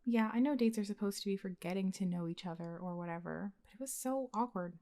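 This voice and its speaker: Casting: young woman, voice actress playing a blunt heartbreaker best friend, mid-pitched, casual and expressive, intimate audio roleplay. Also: fast talker, lightly acted. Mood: exasperated, casual. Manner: casual, expressive, sighing, sweary. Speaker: voice actor in ASMR roleplay. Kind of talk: intimate conversational ASMR roleplay acting.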